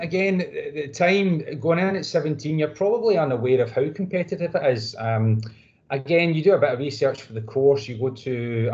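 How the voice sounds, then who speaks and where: A man's voice: medium pitch, darkish tone, highs cut off. A Scottish man in his thirties answering interview questions in a modest, rambling way. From Scotland. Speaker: guest physiotherapist.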